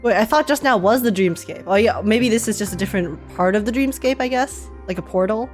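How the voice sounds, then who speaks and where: A woman's voice: medium pitch, brisk. A woman giving casual live reaction commentary, informal and rambling as she thinks aloud. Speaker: streamer reacting to a game. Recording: game music in the background. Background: video game music and dialogue.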